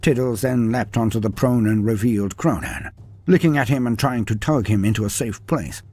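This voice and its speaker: A man's voice: medium pitch, normal speed, a little mellow, husky, soft background music. A man reading a fantasy story aloud with a dramatic delivery, his voice rising and falling through the telling. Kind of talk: scripted fantasy story narration.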